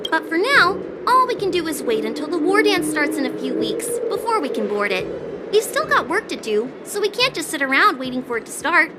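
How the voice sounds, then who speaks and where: A woman's voice: sits high, big pitch swings, a little fast, very noisy. A woman playing a practical, slightly skeptical young tourist merchant in an anime-style video game. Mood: businesslike.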